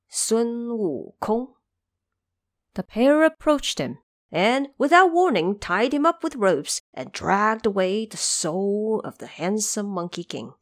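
A woman narrates a fantasy story in a slow, measured way. Her pitch moves expressively through each phrase, and the recording is close and dry. She delivers it as scripted storytelling with explanatory asides. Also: no room sound. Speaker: narrator of an audio drama series.

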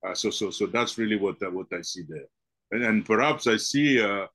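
Middle aged guy from the congo, lowish voice, lots of uh and restarts, very dry close sound. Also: pushed and bright, no room sound. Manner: halting, many uh fillers and repeated words. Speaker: guest. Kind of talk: podcast interview answers.

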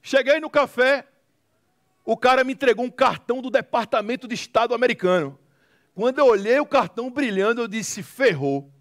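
A man's voice: extremely high pitch, animated, normal speed, a bit bright. A man with a Brazilian accent giving a motivational testimony to an audience, telling stories dramatically with emphatic repetition and humor. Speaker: guest speaker, social movement founder.

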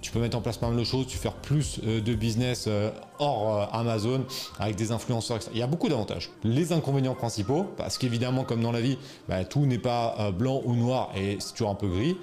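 A man's voice: mid-range voice, brisk, a little mellow, soft background music. Casual, slangy man. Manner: informal, slangy, many fillers and restarts. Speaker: e-commerce coach. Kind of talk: casual explainer video.

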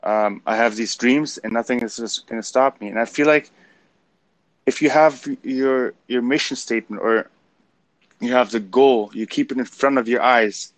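A man with a German accent talks through a motivational conversation with real passion, his voice rising and getting loud when he gets excited. His voice sits in an ordinary middle pitch. The recording is dry and close, with no room sound. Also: normal speed, dry recording. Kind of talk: casual motivational chat.

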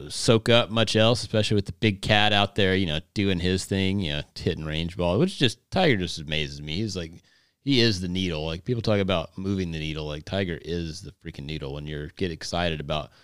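Male co-host, very deep smooth voice, dry studio sound, loose and excitable. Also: dry recording. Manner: loose, enthusiastic, slangy. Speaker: podcast co-host. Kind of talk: casual chat. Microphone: studio podcast mic.

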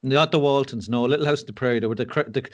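A man's voice: medium pitch, racing. An Irish man speaking as a podcast guest, telling his story candidly with frank, self-deprecating humour and lots of fillers and restarts. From Ireland.

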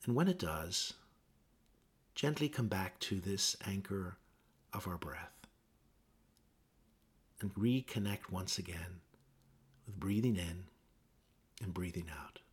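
A man gently leading a guided meditation with measured instructions and pauses. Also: low-pitched, lively intonation, breathy edge.

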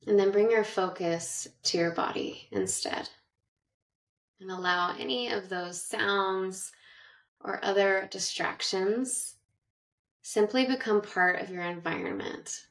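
A woman guiding a meditation with gentle, measured instructions and long pauses, speaking in narration style. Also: mid-range voice, vocal fry. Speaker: meditation guide. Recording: roomy echo, distant from mic.

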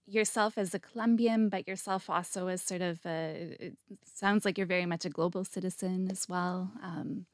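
A woman's voice: on the low side, fairly even intonation, normal speed, no room sound, studio quality. A woman hosting a podcast, asking questions casually and acknowledging answers often. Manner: friendly, frequent short acknowledgements. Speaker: podcast host. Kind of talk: casual interview.

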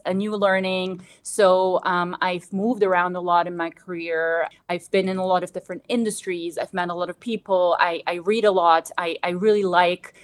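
A woman in her thirties, originally from Bosnia, answering interview questions in a measured, reflective way. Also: fairly low pitch, fairly bright tone. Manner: measured, reflective, frequent "to be honest" and "actually". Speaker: guest.

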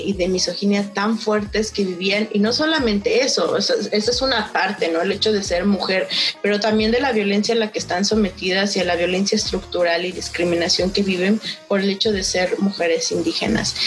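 A young Mexican woman with a Mexican Spanish accent, telling her personal story as an interview guest in an earnest, reflective way, with frequent hesitations. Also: medium pitch. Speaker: guest, founder of a social initiative.

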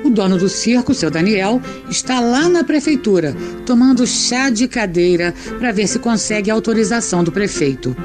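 A woman's voice: medium pitch, expressive, normal speed, few pauses, lightly acted. A woman with a Brazilian accent narrating an audio drama in a colloquial, scripted style. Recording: music behind the voice.

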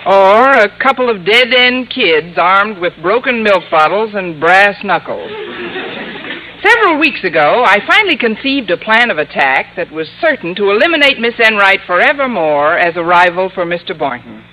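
An actress playing a sardonic, quick-witted woman English teacher in an old radio sitcom, with dry comic timing. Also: medium pitch, sing-song, bright, forceful tone, poor audio. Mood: wry narrating. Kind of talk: 1940s-50s radio sitcom narration and acting. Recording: hissy vintage radio recording.

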